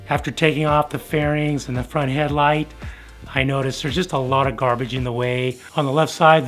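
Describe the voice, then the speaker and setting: Slightly hoarse American man over music. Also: fairly high pitch. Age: middle-aged. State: slightly hoarse from being sick. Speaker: host of a motorcycle build series. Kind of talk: casual how-to narration to viewers. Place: home garage workshop.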